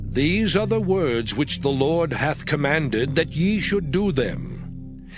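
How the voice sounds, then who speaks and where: A man playing a solemn, commanding biblical lawgiver in a dramatized scripture reading, declaiming in a measured way. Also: fairly high pitch, very animated. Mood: solemn, instructing. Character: solemn commanding male biblical voice giving divine law. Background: music.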